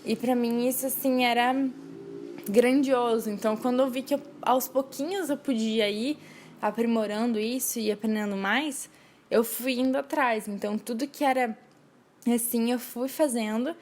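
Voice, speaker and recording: Earnest young woman podcaster, slightly high. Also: steady tone, normal speed, darkish tone, slight background noise. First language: Portuguese. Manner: hesitant, many restarts and fillers, earnest. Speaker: podcast host. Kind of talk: casual solo podcast talk.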